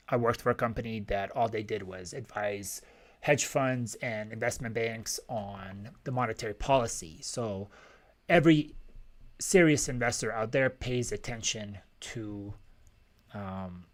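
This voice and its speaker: A man hosting a livestream as a macroeconomist, giving blunt, opinionated casual commentary and thinking aloud in short fragments. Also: on the low side. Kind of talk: casual live financial commentary, answering viewer questions.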